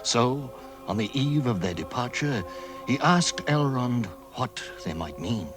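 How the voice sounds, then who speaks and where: An actor playing a composed storybook narrator in a 1960s radio drama, delivering scripted narration. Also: male voice, mid-range voice, very animated, a little slow, music in the background. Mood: storytelling. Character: composed male storybook narrator of a fantasy adventure.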